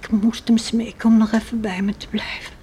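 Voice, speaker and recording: An actress playing an anxious, tender and pious farmer's wife and mother in a radio play, musing in hushed, emotive tones. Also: a little high, flat delivery, brisk, close to an everyday voice. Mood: wistful. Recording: old radio play with faint noise.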